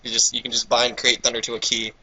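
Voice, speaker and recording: A man's voice: mid-range voice, completely flat delivery, fast talker, pushed and bright, poor audio. A young American man doing a goofy, theatrical dramatic reading and breaking off into casual asides. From Texas, United States. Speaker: reader in a comedic fanfiction reading.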